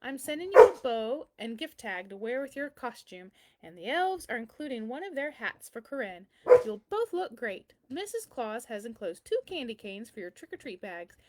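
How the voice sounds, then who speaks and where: A woman's voice: on the high side, big pitch swings, moderate pace, heavy background noise. A woman voicing a kindly, very old man who answers a child's letters in a storybook, reassuring and explanatory. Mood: kindly, explaining. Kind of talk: children's storybook read-aloud.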